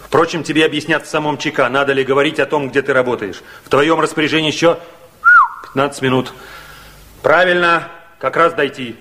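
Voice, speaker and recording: An actor playing a firm, persuasive young-communist official in a radio drama, with an emphatic, instructive delivery. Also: male voice, on the high side, steady tone, brisk, sharp tone. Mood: firm, sober. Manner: emphatic, instructive, matter-of-fact. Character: brisk, persuasive male Komsomol official, comradely and firm. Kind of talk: 1960s Soviet radio drama acting. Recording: faintly noisy 1960s radio recording.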